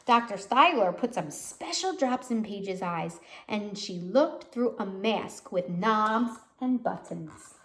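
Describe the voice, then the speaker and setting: A woman reading a children's storybook aloud like a teacher, pausing to explain words and put questions to listeners. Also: medium pitch, animated, a bit bright. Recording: roomy, echoey sound.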